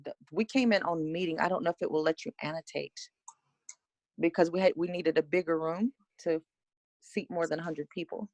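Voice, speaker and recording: A woman's voice: low voice, steady tone, a little fast, darkish tone. A woman hosting an online meeting, helpfully explaining the call's settings over a video call. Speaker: meeting host. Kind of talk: casual explaining.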